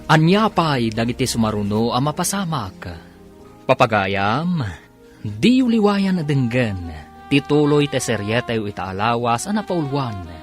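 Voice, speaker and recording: A man's voice: medium pitch, very animated, measured pace, faint music behind. A man with a Filipino accent delivering dramatic scripted narration as the announcer of a radio serial.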